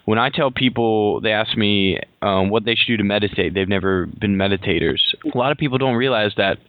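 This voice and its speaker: A man's voice: fairly low pitch, brisk. A man hosting an interview show, admiringly praising his guest's book. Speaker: radio show host. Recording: dry, tinny phone-like sound.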